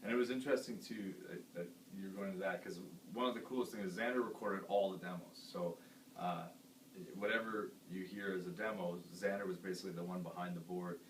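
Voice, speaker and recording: A man who sings in a band, with a deep voice, chatting casually and earnestly about writing a song. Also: steady tone, brisk. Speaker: band singer. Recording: echoey room, distant mic, faint hiss.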